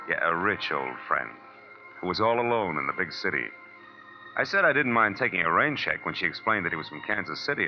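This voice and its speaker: An actor playing a wry, world-weary American private detective, narrating in a 1940s radio drama style. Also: male voice, low-pitched. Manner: hard-boiled, wry, slangy. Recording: muffled vintage radio recording, loud music.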